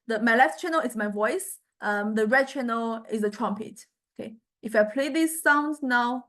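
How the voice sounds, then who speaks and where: A woman lecturer giving an informal, unscripted software demonstration, with halting phrasing and frequent check-in questions. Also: on the high side.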